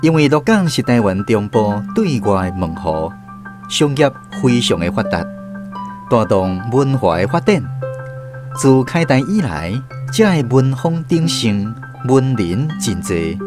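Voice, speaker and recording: Male radio drama narrator, Taiwanese accent, animated melodic delivery over instrumental music. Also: medium pitch, measured pace. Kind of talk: scripted narration.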